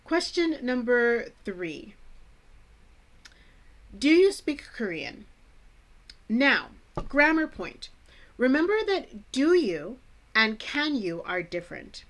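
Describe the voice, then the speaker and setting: An American woman from the Chicago suburbs who hosts an English-teaching vlog, speaking in a clear, deliberate teacher's manner with playful asides. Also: on the high side, big pitch swings. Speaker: English teacher, channel host. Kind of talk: scripted educational vlog.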